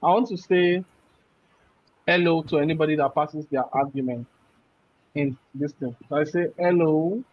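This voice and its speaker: Man, coding instructor, slightly high voice, step-by-step explanations, says "right?" often, muffled audio. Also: fairly even intonation, normal speed, long pauses, dry recording. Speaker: programming instructor. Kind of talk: live coding class explanation.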